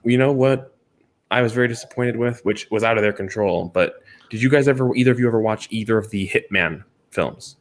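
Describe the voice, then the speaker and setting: A man chatting casually as a podcast co-host, with a blunt, animated way of talking. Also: lowish voice, a little fast. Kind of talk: casual chat.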